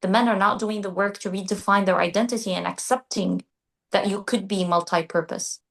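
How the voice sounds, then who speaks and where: Measured woman podcast co-host. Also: medium pitch, steady tone, creaky, a bit bright. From Qatar. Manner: thoughtful, measured, restarts mid-phrase. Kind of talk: casual discussion.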